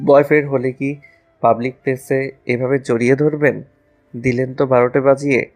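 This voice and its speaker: A man's voice: mid-range voice, little pitch movement, moderate pace, darkish tone, soft background music, lightly acted. A man playing a sulky, proud young college student in a romantic audio drama, curt and aggrieved. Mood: annoyed. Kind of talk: romantic audio story acting.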